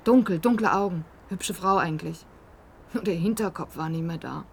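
A woman playing a brisk, matter-of-fact snack-stall vendor in a radio crime drama, chatty and blunt. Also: lowish voice, gravelly, darkish tone, a little hiss or hum. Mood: blunt, offhand.

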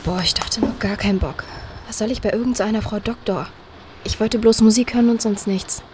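A young adult woman playing a distressed, stubborn young woman in a naturalistic German audio drama, speaking in a blunt, halting, colloquial way. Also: mid-range voice, fairly even intonation, noisy background, lightly acted. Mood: weary, candid.

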